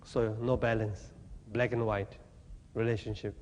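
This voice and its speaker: A man from Tibet, giving a Buddhist teaching in halting, plain English with gentle humor. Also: on the low side, flat delivery, long reverb tail, slight background noise. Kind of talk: dharma talk to an audience. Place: talk venue with audience.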